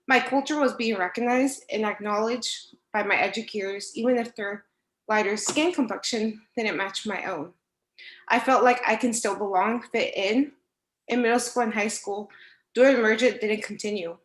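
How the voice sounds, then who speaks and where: Young woman w/ spanish as first language, reading a statement, kinda high voice, echoey room. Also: a bit bright. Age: young adult. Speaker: instructional assistant.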